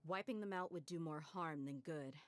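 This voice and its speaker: An actress voicing a bold young female adventurer in a video game, steady and wry. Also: deep voice, normal speed, dry recording, lightly acted. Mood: resolute.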